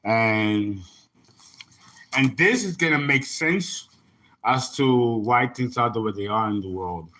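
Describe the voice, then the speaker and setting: Guy on a home livestream, slow and easygoing, reads the chat comments out loud and rambles. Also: mid-range voice, expressive. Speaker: astrologer and tarot reader.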